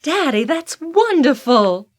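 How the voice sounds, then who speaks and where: Ambitious heiress acted by a woman, sharp-tongued, highish lively voice, audio drama. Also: slow pace, heavily acted. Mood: eager. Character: ambitious, indignant adult daughter of an oil tycoon.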